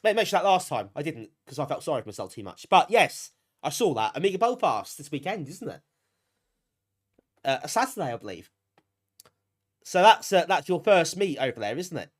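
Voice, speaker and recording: A British man hosts a live stream in a fairly high voice with very animated intonation. He sounds bunged up with a cold. He chats casually with viewers in a self-deprecating, appreciative way. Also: fairly quick, pauses often. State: bunged up, getting over a cold. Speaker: live streamer hosting a retro gaming stream.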